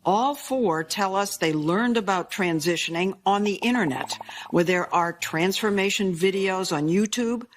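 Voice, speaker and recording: Woman news reporter, crisp and probing. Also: medium pitch, a little slow, a little hiss or hum. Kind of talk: broadcast news narration.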